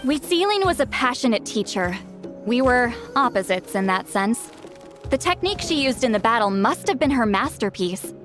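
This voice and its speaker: A woman's voice: on the high side, sing-song, moderate pace, over music. A woman voicing a proud, prickly great shaman in a video game, haughty, defensive and theatrical. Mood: matter-of-fact, proud.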